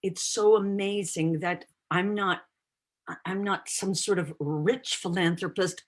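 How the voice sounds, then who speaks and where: Woman, 60s, breathy, earnest, rousing guest speaker, video call. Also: sits low. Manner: rousing, earnest, addresses the audience directly. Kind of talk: inspirational church talk.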